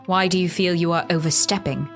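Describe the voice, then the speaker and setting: A woman as young queen, low voice, candid, bold, yearning, intimate radio play, faint strings. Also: fairly even intonation, a little fast, close to an everyday voice. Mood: candid, wry. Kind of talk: intimate audio drama acting. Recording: faint string music underneath.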